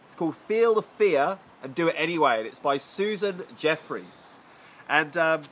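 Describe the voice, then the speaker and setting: A man with a bright, forceful voice, thick and congested from a cold. He talks casually and loosely to camera, full of fillers and restarts, over faint background ambience. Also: on the high side, slight background noise. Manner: chatty, rambling, many fillers and restarts. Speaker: vlogger reviewing a self-help book. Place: home garden area.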